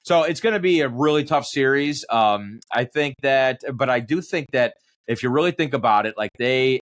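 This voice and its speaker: A man giving conversational sports analysis as an announcer and guest, measured and thinking aloud, leaning on his key points. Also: mid-range voice, expressive, a bit bright. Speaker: sports radio play-by-play announcer, podcast guest.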